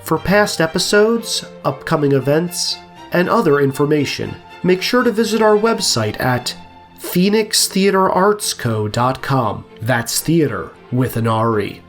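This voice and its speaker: A man's voice: fairly high pitch, expressive, soft background music. A man reading a formal scripted introduction as announcer for an audio drama series. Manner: formal, slow and deliberate.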